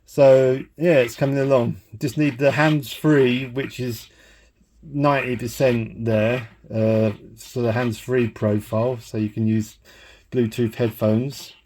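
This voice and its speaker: Slow-talking man demonstrating his gadget. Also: mid-range voice, steady tone, a little mellow. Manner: halting, thinking aloud. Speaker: hobbyist demonstrating a homemade device. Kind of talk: casual rambling tech demonstration.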